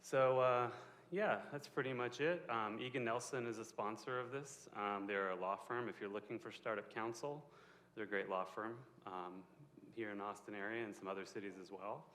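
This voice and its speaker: Male event host on a handheld mic, low-pitched, conversational, reverberant hall. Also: moderate pace, a bit bright. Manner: conversational, a bit apologetic. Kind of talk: live on-stage interview. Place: event space with a small audience. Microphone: handheld microphone. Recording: roomy live venue.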